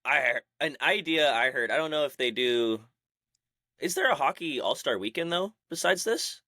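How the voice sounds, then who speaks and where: Bright, excitable male podcast co-host. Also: fairly high pitch, moderate pace, dry recording, studio quality. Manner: chatty, excitable, loose. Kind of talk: casual podcast chat.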